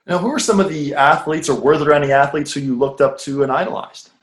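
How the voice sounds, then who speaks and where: A man's voice: a little high, quick pace. A man hosting a podcast, asking interview questions in a friendly way and dropping in short affirmations. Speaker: podcast host. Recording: echoey room.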